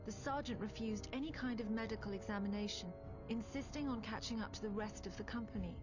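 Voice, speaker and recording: A woman playing a composed officer who recounts a war in measured, grave, matter-of-fact scripted narration. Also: a little high, lo-fi sound, lightly acted. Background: clear background music.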